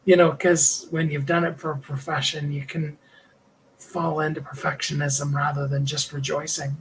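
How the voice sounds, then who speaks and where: A middle-aged man hosts his own livestream, and his speaking voice sits at a light tenor that runs a little high for a man. He talks in a rambling, heartfelt way, with plenty of "you know" and quick small laughs. A faint hum of room ambience sits under him. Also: level tone, a bit bright, poor audio. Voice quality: higher-pitched tenor voice. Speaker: livestream host and singer. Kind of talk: reflective personal livestream talk.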